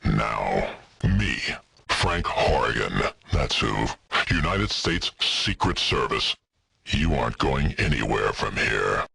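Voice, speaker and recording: Hulking villain enforcer, video game. Bottomless growling bass, heavily gravelled, contemptuous and menacing. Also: male voice, big pitch swings, takes it slowly, bright, forceful tone, a little hiss or hum, heavily acted. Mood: cold menace. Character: huge menacing mutant government enforcer, brutal and contemptuous. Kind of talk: scripted video game voice acting.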